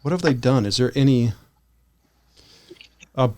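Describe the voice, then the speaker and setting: Wry man with a medium-pitched voice. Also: animated, measured pace, a little mellow. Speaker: podcast co-host. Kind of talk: casual podcast chat.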